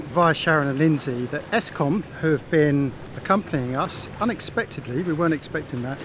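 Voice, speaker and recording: A middle-aged man vlogging casually from the deck of a sailboat, rambling with fillers, filming himself with a handheld phone. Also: on the high side. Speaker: sailing vlogger. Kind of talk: casual vlog narration. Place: deck of a sailboat at sea, outdoors. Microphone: phone held at arm's length. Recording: wind and water noise outdoors. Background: wind, waves and boat noise.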